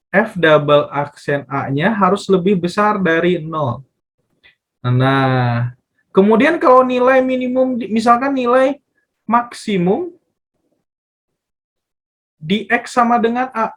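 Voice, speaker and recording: A man's voice: high voice, fairly bright tone. A man explaining math step by step as a teacher, often asking questions and answering them himself and repeating phrases. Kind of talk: casual step-by-step tutorial explanation.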